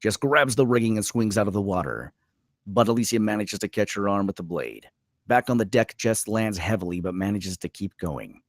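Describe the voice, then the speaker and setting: A man's voice: fairly low pitch, animated, moderate pace, dry recording, studio quality. A man reading stage directions as narrator at a screenplay table read.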